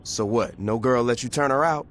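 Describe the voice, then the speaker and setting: An actor playing a tough, sarcastic street gang boss in a video game cutscene, blunt and distrustful in manner. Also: male voice, mid-range voice, moderate pace, a bit bright, a little hiss or hum, close to an everyday voice. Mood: mocking.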